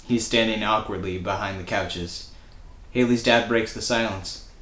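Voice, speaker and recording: A man's voice: on the low side, fairly even intonation, a little slow, a bit bright. Man reading stage directions in echoey room. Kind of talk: scripted screenplay narration.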